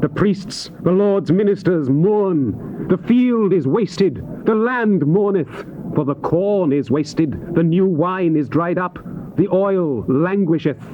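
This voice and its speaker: An actor playing a fervent Old Testament prophet in a dramatized scripture recording, declaiming solemnly with drawn-out emphasis. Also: male voice, high-pitched, expressive. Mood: mournful. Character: fervent male Old Testament prophet crying lament and warning. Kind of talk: dramatized scripture recitation, classical stage declamation. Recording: dull hissy old cassette tape recording.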